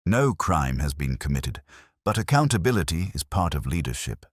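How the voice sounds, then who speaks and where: Man playing a deep, calm police inspector. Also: no room sound, studio quality, heavily acted. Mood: gently firm. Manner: measured, formal, gently lecturing. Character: calm, kindly, tactful male police inspector.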